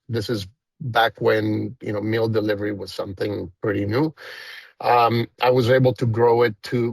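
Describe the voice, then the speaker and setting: A man's voice: on the low side, creaky, dry recording, rough recording. A man in his fifties from Costa Rica with a thick Costa Rican Spanish accent, a guest chatting casually and rambling with many fillers. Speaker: guest, company founder. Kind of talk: casual interview chat.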